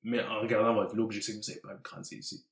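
A man's voice: lowish voice, little pitch movement, fast. A man in his late twenties with a Quebec French accent, chatting casually and slipping English words into his talk. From Montreal, Canada. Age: late 20s. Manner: mixes English words into speech. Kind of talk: casual conversational chat. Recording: roomy, echoey room.

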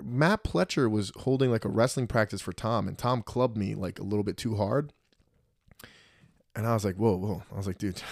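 A man in his thirties telling stories in a casual podcast chat, profane and animated. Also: lowish voice, no room sound, clean studio sound. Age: 30s. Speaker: podcast guest.

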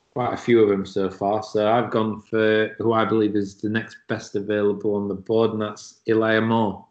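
Easygoing Yorkshire man, dull sound. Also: fairly low pitch. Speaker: podcast co-host. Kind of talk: casual chat.

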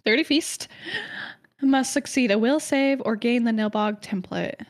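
A woman on a casual podcast, her voice sitting on the higher side. She chats freely, laughs easily and tells stories with dramatic flair. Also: measured pace. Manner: chatty, giggly, dramatic storytelling. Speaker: podcast co-host presenting lore research.

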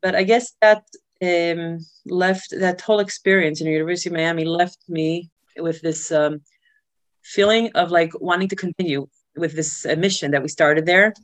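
A woman who grew up in Israel, telling her life story as an interview guest, pausing often with filler sounds and restarts. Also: lowish voice, fairly even intonation, no room sound. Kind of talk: personal life story in conversation.

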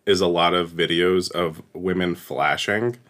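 A man's voice: low voice, steady tone, measured pace, a bit bright. A man in his late twenties co-hosting a casual podcast, throwing in dry, joking asides. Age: 20s. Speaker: podcast co-host. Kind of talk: casual chat.

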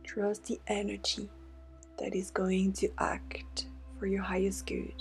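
A woman guides a meditation in a soothing, deliberate way, with breathy half-whispered phrases. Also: medium pitch, completely flat delivery. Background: meditation music with singing bowl tones.

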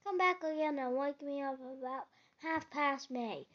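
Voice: high-pitched, a little slow, a bit bright, highs cut off, slight background noise. A child voicing a sleepy, grumpy toad who complains reluctantly in a storybook read-aloud. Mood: sleepy, complaining.

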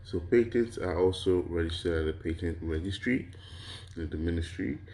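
A man with a very deep voice explains a topic to listeners, posing questions and answering them himself. His voice is slightly hoarse from a cold, and he strings his points into lists punctuated with a questioning "right?". He is from Nigeria. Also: moderate pace, darkish tone. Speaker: lecturer on intellectual property. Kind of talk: explanatory lecture to an audience.

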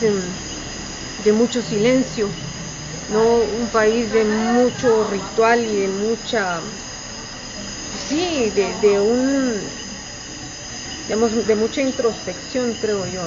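A Guatemalan woman speaks slowly and searches for her words, filling her pauses and checking in with her listener. The recording sounds muffled and dull. Crickets chirp loudly behind her. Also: mid-range voice, background noise. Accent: Guatemalan Spanish. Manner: searching, hesitant, many fillers and rhetorical tags. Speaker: poet, interview guest. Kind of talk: reflective interview answer.

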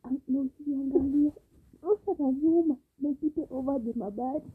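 A woman's voice: sits high, normal speed, phone-quality sound. A Kenyan woman co-hosting a podcast in casual, bantering chat. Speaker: podcast co-host. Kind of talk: casual bantering chat, mixing Swahili and English.

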